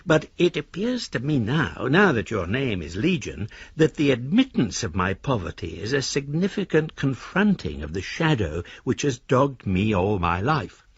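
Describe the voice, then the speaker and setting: A middle-aged debtor in a radio play, wordy and melancholy. His husky voice swings expressively through reflective, literary phrases, on a dry, slightly dull recording. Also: medium pitch, very animated, moderate pace, hardly any pauses, no room sound, full character voice. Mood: pompous, reflective. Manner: literary, reflective, self-dramatising. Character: melancholy, wordy widowed debtor writing to creditors. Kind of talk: radio drama letter monologue.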